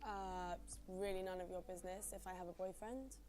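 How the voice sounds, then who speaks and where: A woman's voice: lowish voice, a little fast, warm tone, close to an everyday voice. A woman playing a blunt, wary young woman. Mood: annoyed. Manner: guarded, blunt, curt refusals. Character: young woman annoyed by a stranger's advances. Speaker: game character. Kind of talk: live-action dating game scene.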